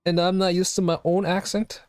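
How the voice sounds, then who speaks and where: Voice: mid-range voice, flat delivery, a little fast, a little mellow, smooth, dry recording, studio quality. A live streamer chatting casually to viewers, rambling and firing off questions to chat. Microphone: home streaming microphone.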